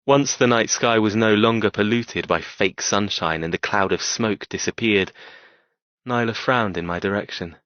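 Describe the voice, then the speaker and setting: Audiobook lead: man playing a brooding, tormented cold man telling his story, deep, terse, closely dry recorded. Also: normal speed, a bit bright, dry recording, muffled recording, natural voice. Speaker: audiobook narrator. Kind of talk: first-person scripted fiction narration.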